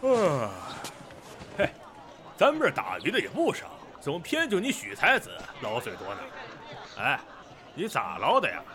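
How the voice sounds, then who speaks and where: Man acting a brash, wildly animated fishmonger. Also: a little high, normal speed, pauses often, a crowd in the background. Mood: teasing, curious. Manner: jeering, colloquial, needling. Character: brash, mocking village fishmonger. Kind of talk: Chinese period audio drama acting.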